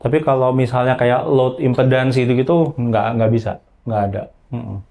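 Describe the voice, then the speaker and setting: A man explaining software in an informal, hands-on tutorial, using colloquial tags as he goes. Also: medium pitch, fairly even intonation, normal speed. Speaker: audio software trainer.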